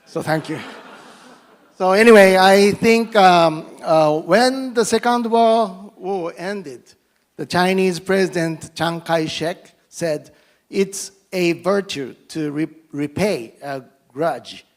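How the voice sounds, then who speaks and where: A middle-aged man from Japan, a native Japanese speaker, giving a formal guest speech as a politician in halting English with a Japanese accent, stumbling over words and restarting often between self-deprecating jokes. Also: high voice.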